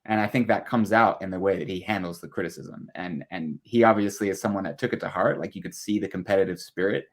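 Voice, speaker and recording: A man speaking as a podcast guest and analyst, explaining his views in a measured, casual interview style. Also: sits low.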